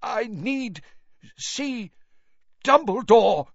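Unhinged older wizard bureaucrat, high strained pitch, swooping intonation, gasping, rambling, storybook character, slightly dull recording. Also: male voice, animated, moderate pace, a bit bright, no room sound. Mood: desperate, gasping. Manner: muttering, gasping, broken effortful speech. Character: deranged exhausted older wizard official, rambling and desperate.

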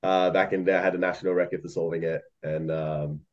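Low-voiced young man, laid-back chatter. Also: level tone, quick pace, lo-fi sound. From Malaysia. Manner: rambling, laughs often, many fillers. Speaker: podcast guest, algo trader. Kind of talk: casual chat.

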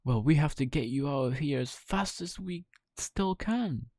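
Tiny storybook cricket played by a man: worried guardian, raised pitch, urgent exclamations. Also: lively intonation, measured pace, a little mellow, dry recording, studio quality. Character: small talking cricket, anxious, urgent guardian. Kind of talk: children's storybook character voice.

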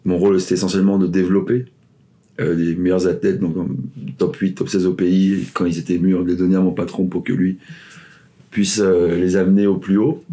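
Guy in his 40s, swim coach guest, really low mellow voice, lots of euh and restarts. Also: little pitch movement, fairly quick. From Ivory Coast, raised in Paris. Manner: rambling, many fillers and restarts. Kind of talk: casual interview chat.

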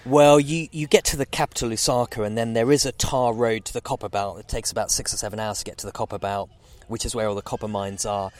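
A man in his forties with a lowish voice, speaking as a podcast guest. He rambles through his answers, restarting sentences and filling pauses with "um" and "sort of". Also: steady tone. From Zambia. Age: 40s. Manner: rambling, many fillers and restarts. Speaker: guest oceanographer. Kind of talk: casual interview.

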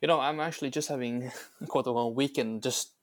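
A young man who is not a native English speaker, a podcast guest chatting casually and pausing often to search for words. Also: medium pitch, fairly even intonation. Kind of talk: casual chat.

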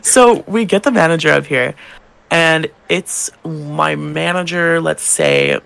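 A man's voice: on the high side, very animated, slow pace, fairly bright tone. A young man, a drag queen co-hosting a podcast, telling work stories in casual chat, swearing and acting out customers' lines. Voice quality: gravelly, feminine-leaning voice.

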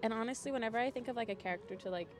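A woman's voice: fairly high pitch, very fast, music in the background. A young woman telling stories casually as a podcast guest, chatty and self-deprecating. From Europe, mainly Switzerland, Belgium and Scandinavia. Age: young adult. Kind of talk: casual conversational storytelling.

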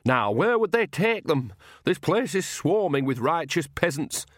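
A man voicing a bossy, folksy old woman, a brisk and earthy witch in a storybook. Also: fairly high pitch, sing-song, dry recording, clean studio sound, pitched above the performer's own voice. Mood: brisk, exasperated.

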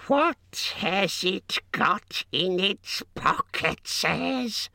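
A man voicing a wretched, spiteful cave creature for a storybook, with a strangled, hissing voice and drawn-out, repetitive muttering. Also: high-pitched, animated, vocal fry, bright, forceful tone, no room sound, pitched above the performer's own voice, heavily acted. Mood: suspicious, hissing. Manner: drawn-out, repetitive, muttering to himself in odd grammar.

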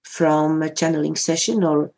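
A woman's voice: deep voice, level tone, moderate pace, creaky, darkish tone. A woman hosting a podcast, asking her guest brief, curious questions. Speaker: podcast host. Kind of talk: interview questions.

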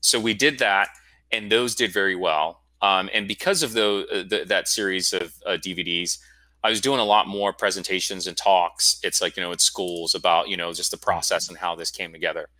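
A man's voice: low voice, steady tone, moderate pace, sharp tone, no room sound, rough recording. A man in his forties from Florida, a guest telling his story in a casual, rambling interview. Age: 40s. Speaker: guest, school founder.

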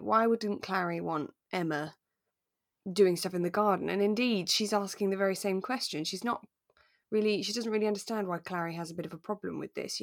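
A woman co-hosting a podcast in relaxed, informal, readily amused chat, recapping as she goes. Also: medium pitch, dry recording, studio quality. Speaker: podcast co-host.

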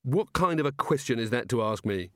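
A man's voice: mid-range voice, lively intonation, fairly quick, fairly bright tone, no room sound, clean studio sound, lightly acted. A man voicing a hapless, anxious male prisoner in a comic audiobook, fretful, hesitant and sardonic. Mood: weary, irritated.

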